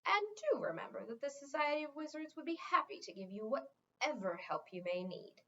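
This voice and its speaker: A woman's voice: high voice, big pitch swings, a little fast, a bit bright, full character voice. A woman voicing a smugly courteous male wizard in a storybook, speaking with formal, excessive politeness. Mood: smooth, insistent. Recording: dull, lo-fi sound.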